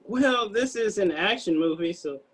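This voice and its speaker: Man acting a high-voiced, hesitant screenwriter. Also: animated, fairly bright tone, slightly rough, full character voice, poor audio. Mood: polite unease. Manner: halting, hesitant. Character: anxious screenwriter man pitching his action script to producers. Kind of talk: table read acting.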